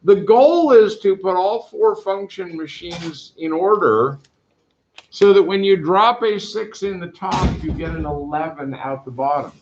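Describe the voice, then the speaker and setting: Man, math teacher, loud and brisk, slightly high voice, echoey classroom, muffled lo-fi sound. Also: a little hiss or hum. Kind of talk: classroom instruction.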